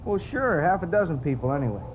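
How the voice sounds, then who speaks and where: A folksy American working man who runs a food stand, in a vintage radio drama. His voice is fairly high and casual, on a tinny, noisy old recording. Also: sing-song, fast. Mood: explaining. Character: male food stand operator, plain-spoken, obliging, puzzled working man. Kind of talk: 1950s radio crime drama acting.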